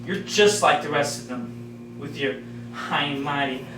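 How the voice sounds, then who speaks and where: Man acting a bitter, ranting, high-voiced jealous employee. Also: measured pace, sharp tone. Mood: bitter, menacing. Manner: ranting, sarcastic, theatrical. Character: bitter, jealous male employee snapping at his colleagues. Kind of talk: stage play acting. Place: live stage in a theatre. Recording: echoey theatre recorded from far off, noise.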